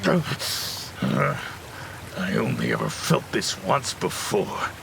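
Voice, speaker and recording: Ancient heavenly warrior, acted by a man: heavy low rasp, slow and grave, fantasy audio drama. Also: huge pitch swings, noisy background, exaggerated voice. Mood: pained, solemn.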